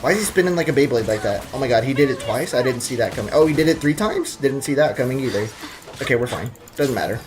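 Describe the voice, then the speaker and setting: A man's voice: medium pitch, lively intonation, fast talker, noisy background. A young adult man giving casual, slangy live gameplay commentary with jokey asides. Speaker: game streamer. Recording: game music behind.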